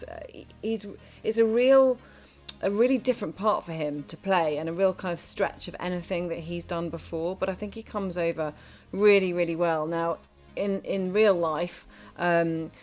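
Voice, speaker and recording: A woman co-hosting a film and TV review show, her voice sitting a little low. She chats casually and hesitantly, full of fillers and restarts, over faint background music.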